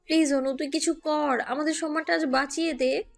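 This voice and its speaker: A woman's voice: high-pitched, fairly even intonation, brisk, gravelly. A woman playing an earnest, exasperated young sister who pleads her way through an audio story. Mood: pleading. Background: music.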